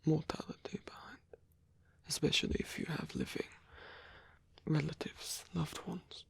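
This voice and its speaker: A man playing a lonely, gentle old vampire, murmuring haltingly and breathily in an intimate ASMR roleplay. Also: fairly high pitch, huge pitch swings, full character voice. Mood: earnest, reflective. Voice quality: breathy, whispery.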